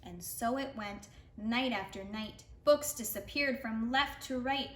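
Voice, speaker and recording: A woman's voice: a little high, measured pace. A woman reading a rhyming picture book aloud expressively for preschool children. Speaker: read-aloud storyteller for preschoolers. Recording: roomy sound with faint background noise.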